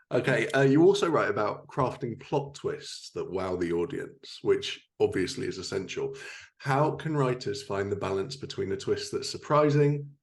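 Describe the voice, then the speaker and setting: A man's voice: on the low side, creaky. A man hosting a casual interview podcast, chatting and asking questions. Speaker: podcast host.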